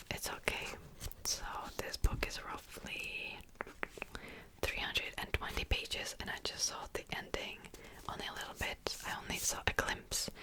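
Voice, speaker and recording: A woman whispers in a soft, fully unvoiced hush. She takes her time, drifting between thoughts with little ums and pauses in a relaxed ASMR ramble. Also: low-quality recording. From Czech Republic. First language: Czech. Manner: slow, relaxed rambling with fillers. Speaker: ASMR creator. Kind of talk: whispered ASMR book haul.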